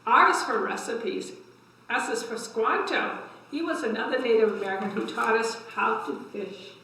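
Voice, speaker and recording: A woman's voice: on the high side, moderate pace, bright, forceful tone, a little hiss or hum. An older woman hosting a story time, reading picture books aloud to young children with simple asides and questions. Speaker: library story time host. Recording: echoey room, distant microphone.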